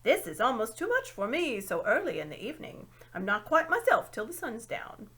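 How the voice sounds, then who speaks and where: A woman's voice: fairly high pitch, wildly swooping pitch, fairly bright tone. Storybook owl: male, large, fussy, hoots and drags his vowels; a woman reads him. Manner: hooting, drawn-out and fluttery. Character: fussy sleepy male owl, big and short-sighted. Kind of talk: audiobook reading.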